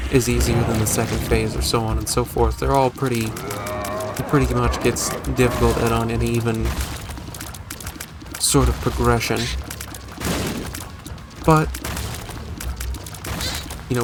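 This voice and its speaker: A man hosting a gaming video with casual, joking, self-deprecating commentary and some mild swearing. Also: mid-range voice.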